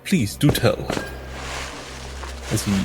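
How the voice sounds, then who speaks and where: A man playing a tabletop game in character, speaking earnestly in deliberate, halting phrases. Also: music in the background. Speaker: tabletop roleplay player. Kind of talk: in-character improvised roleplay dialogue.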